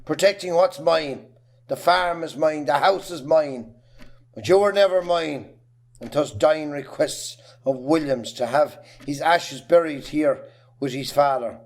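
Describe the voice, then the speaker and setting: A man acting a bitter, domineering middle-aged farmer and jealous husband in an amateur play, scornful, with an Irish accent. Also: fairly high pitch. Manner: scornful, hard, rapid lists of grievances.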